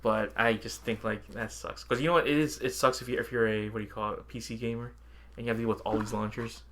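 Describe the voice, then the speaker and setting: A man's voice: lowish voice, steady tone, quick pace, fairly bright tone. A man co-hosting a podcast, chatting casually and informally about games and films, sometimes swearing or chuckling. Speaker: podcast co-host.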